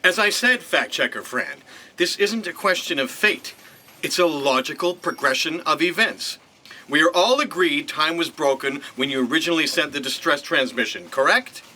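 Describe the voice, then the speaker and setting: Earnest, very bright-voiced hero. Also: male voice, fairly high pitch, lively intonation, full character voice, poor audio. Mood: confident, reasoning. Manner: earnest, deliberate explaining. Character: earnest, confident male investigator hero, reasoning pompously. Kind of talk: comedic sci-fi audio drama acting.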